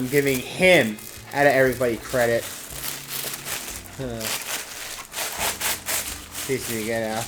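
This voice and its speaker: A performer playing an excitable Pokemon professor who hosts a segment in a theatrical, rambling way. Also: medium pitch, natural voice. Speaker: segment host. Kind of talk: scripted comic character segment.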